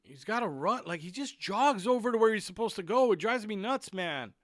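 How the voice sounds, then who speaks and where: Excitable football streamer with a dry close sound. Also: male voice, sits high, a little fast, no echo. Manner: frustrated, excitable rants about players; muttering while fixing stream clock. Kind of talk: live stream match commentary.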